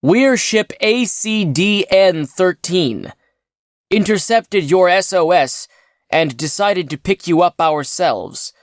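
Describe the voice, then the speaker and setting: A narrator plays a calm spaceship crewman who talks in a brisk, matter-of-fact way. His voice sits a little above the storyteller's own, and the recording is close and dry. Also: medium pitch, no room sound, pitched above the performer's own voice.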